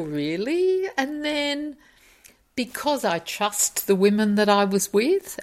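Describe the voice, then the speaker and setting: A woman telling her part sincerely in an interview. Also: on the low side. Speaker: activist interviewee. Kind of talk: interview answer.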